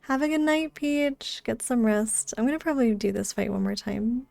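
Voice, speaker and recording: A woman's voice: fairly high pitch, normal speed, vocal fry, darkish tone, dry recording. A woman chatting casually as she streams a video game, talking close to the microphone. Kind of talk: casual livestream chat and commentary.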